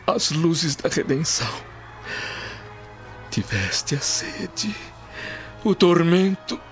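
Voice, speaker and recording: Slow, swooping anguished ancient Roman. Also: male voice, fairly high pitch. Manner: dramatic, solemn declamation. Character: anguished, compassionate man of ancient Rome. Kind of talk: audio drama acting. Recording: dull lo-fi audio, music behind.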